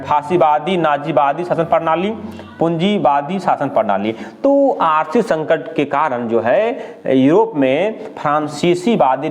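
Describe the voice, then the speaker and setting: Male exam tutor, slightly high voice, drills questions and answers with emphatic repetition. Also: darkish tone. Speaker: teacher presenting exam questions.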